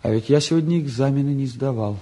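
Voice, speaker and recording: An actor playing a restless, cheeky and candid teenage son in a 1950s Soviet radio play, talking in an impulsive, colloquial way. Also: male voice, mid-range voice, fast, mellow, close to an everyday voice. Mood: wry, confessional.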